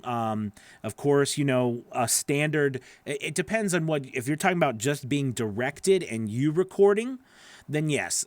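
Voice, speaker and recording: A man around his forties chatting casually as a livestream host and coach, animated and emphatic, speaking close into a studio microphone. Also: mid-range voice, no echo. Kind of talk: casual livestream chat, answering viewer comments. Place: small home studio with acoustic foam. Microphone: broadcast microphone on a boom arm, up close.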